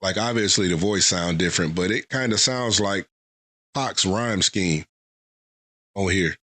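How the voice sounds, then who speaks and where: Male reviewer recovering from a head cold, lowish voice, loose and rambling. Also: a little slow, a bit bright. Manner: loose, thinking aloud with many fillers. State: getting over a head cold. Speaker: album reviewer. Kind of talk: casual reaction commentary.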